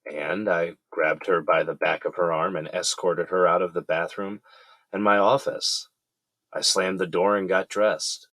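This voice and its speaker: Low-voiced, weary man on trial, acted by a man. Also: level tone, measured pace, a bit bright, no room sound, full character voice. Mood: subdued, confiding. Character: weary middle-aged defendant confiding in his lawyer. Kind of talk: audio drama dialogue.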